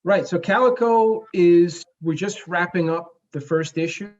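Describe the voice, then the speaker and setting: Higher-voiced man, halting and earnest, dull audio. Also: a little mellow. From the Bronx, New York, United States. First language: Spanish. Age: 40s. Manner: earnest, halting, frequent pauses mid-phrase. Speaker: guest, comic book creator. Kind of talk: conversational interview.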